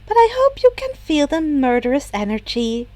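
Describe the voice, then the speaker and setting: A woman's voice: high-pitched, big pitch swings, normal speed, a little mellow, dry recording. A woman voicing a cheerful, bossy young boy who streams games, in a fan fiction reading. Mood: mock scolding.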